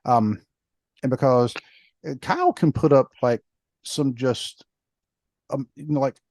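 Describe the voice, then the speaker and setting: A man's voice: mid-range voice, a little slow, darkish tone, no room sound. A man co-hosting a podcast, chatting in a rambling way with lots of fillers. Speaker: podcast co-host. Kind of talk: casual podcast chat.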